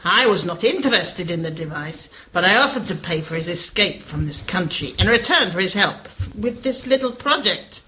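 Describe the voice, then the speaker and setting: A woman's voice: fairly low pitch, expressive, normal speed, few pauses, bright, forceful tone, slight background noise, low-quality recording. A woman playing a scheming female spymaster, mocking and theatrical in an amateur radio play. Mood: cold taunting. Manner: gloating, mocking, theatrical laughter.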